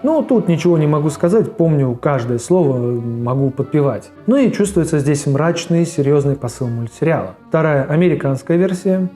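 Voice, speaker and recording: Guy narrating a casual cartoon review, soft mellow tone, some music behind him. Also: fairly high pitch, lively intonation, normal speed, hardly any pauses. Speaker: host of a cartoon review show. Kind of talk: casual video essay narration. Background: background music under the voice.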